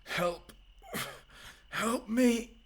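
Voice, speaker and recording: A man's voice: extremely high pitch, drawn-out words, husky, full character voice. A man acting a wry, joking vampire slayer hero in an audio roleplay, with a casual, teasing way of talking. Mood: desperate.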